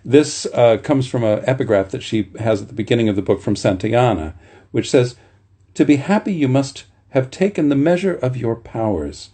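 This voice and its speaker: Male literary reader, mid-pitched and very expressive, deliberate phrasing, informal bedtime-story delivery with a soft rasp. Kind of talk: expressive literary reading aloud with informal asides.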